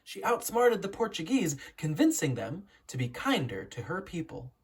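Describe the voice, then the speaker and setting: An educator reading a children's picture book aloud in a storytelling, instructive way with deliberate emphasis and a slightly husky voice. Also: medium pitch, wildly swooping pitch, a little hiss or hum.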